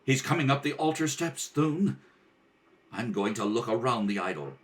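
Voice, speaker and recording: A man's voice: mid-range voice, lively intonation, moderate pace, far from the mic, pitched below the performer's own voice, close to an everyday voice. A man playing a bold young hero in an old-time radio adventure serial, earnest and urgent. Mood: tense, urgent. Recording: roomy home recording, off the mic.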